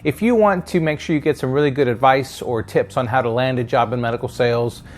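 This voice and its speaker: A man's voice: medium pitch, quick pace. Man giving practical career advice. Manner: explanatory, checks in with tag questions like "right?". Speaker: career advice video host, sales hiring manager. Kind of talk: instructional advice straight to viewers.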